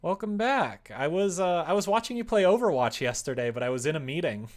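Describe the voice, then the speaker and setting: A man's voice: a little high, expressive, brisk. Casual, rambling man chatting on a livestream. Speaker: live streamer. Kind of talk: casual chat with stream viewers, reading out their comments.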